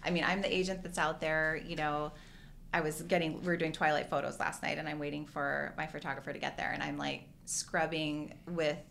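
Middle-aged woman from hawaii, low voice, lots of "like", chatty guest on a podcast. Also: little pitch movement, fairly quick, creaky, a bit bright, slight background noise. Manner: chatty and earnest, many "like"s and "you know"s. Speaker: podcast guest, real estate agent. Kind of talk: casual interview chat.

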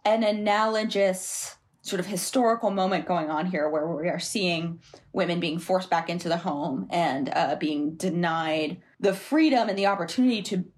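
A woman's voice: lowish voice, normal speed. A woman columnist appearing as a podcast guest, giving considered, articulate, analytical commentary in a conversational way.

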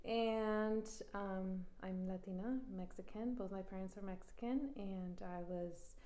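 Relaxed Californian woman receptionist. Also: mid-range voice, unhurried. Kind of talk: casual interview. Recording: echoey room.

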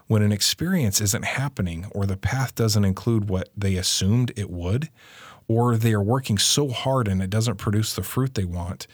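A man's voice: fairly low pitch, husky, no room sound, clean studio sound. A man co-presenting a religious lesson, explaining scripture in an earnest teaching manner.

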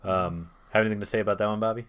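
A man's voice: on the low side, fairly even intonation, racing, a bit bright, clear, resonant tone. A man hosting a casual film discussion among friends, speaking in an informal, conversational and mildly sweary way. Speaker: podcast host.

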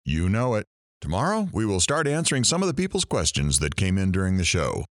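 A man's voice: low-pitched, wildly swooping pitch, brisk, no echo, clean studio sound. A man playing a brash, crude, wisecracking talk radio host in an audio drama, with showy, sarcastic patter. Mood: wrapping up. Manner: showy, sarcastic, innuendo-laden banter.

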